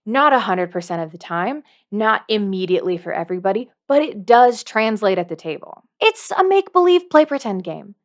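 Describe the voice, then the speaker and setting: Woman doing a tabletop tutorial vid, medium pitch, playful n emphatic, little dry asides. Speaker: video host, dungeon master. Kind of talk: scripted tutorial to camera.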